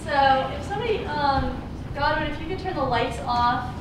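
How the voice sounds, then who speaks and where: A woman with a loud, somewhat high voice opening her lecture, dropping in ums as she goes. The mic is far from her in an echoey room, and noise sits clearly under her words. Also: moderate pace. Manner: loud, with um fillers. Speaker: guest lecturer. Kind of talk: opening a classroom talk.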